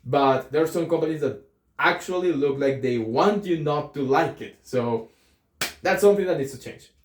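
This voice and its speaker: A man's voice: fairly high pitch, moderate pace. Colombian-accented young man in an echoey room. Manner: reflective, thinks aloud with ums and self-corrections. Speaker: vlogger. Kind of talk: casual talking-to-camera vlog.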